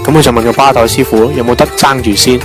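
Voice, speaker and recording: A man's voice: medium pitch, fairly even intonation, quick pace, music in the background, lightly acted. A man playing a wisecracking young joke-teller who rambles along in an amateur radio drama, with a Hong Kong Cantonese accent. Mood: jokey storytelling. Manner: rambling, jokey storytelling. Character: wisecracking young man, long-winded teller of absurd jokes.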